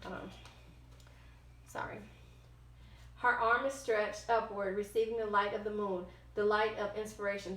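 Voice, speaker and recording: A woman with a medium-pitched voice gives an informal oracle card reading in a roomy, echoing space. She moves between reading aloud and ad-libbing, haltingly, often tripping on a word and correcting herself. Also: a bit bright. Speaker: tarot card reader, host.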